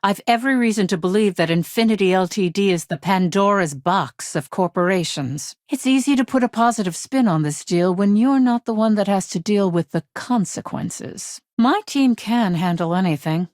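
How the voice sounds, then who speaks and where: A woman's voice: mid-range voice, lively intonation, normal speed, hardly any pauses, a little mellow, no room sound, lightly acted. A woman playing a shrewd, no-nonsense corporate legal chief in a video game, speaking in a direct, businesslike and dry manner. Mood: assertive. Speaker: head of a corporate legal department.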